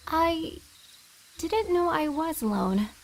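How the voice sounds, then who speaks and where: Woman as very high-voiced, innocent lost girl. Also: expressive, takes it slowly, a little hiss or hum, exaggerated voice. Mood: innocent, candid. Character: lost young girl, innocent, curious and polite. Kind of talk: audio drama acting.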